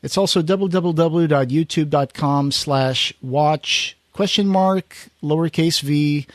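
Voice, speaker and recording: A man's voice: fairly high pitch, dry recording. A man hosting a podcast in casual chat, telling stories and acting out the quotes. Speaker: podcast host.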